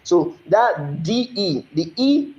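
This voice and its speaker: A man's voice: high-pitched, very animated, darkish tone, dull audio. Echoey Nigerian man teaching French. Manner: explanatory, asks "you understand?" often, spells out French slowly. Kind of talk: recorded online lesson.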